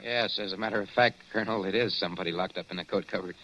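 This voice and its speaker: An actor playing a brisk, impatient young newspaperman in 1940s radio drama style. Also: low voice, steady tone, pushed and bright, highs cut off. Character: brash young American newspaperman.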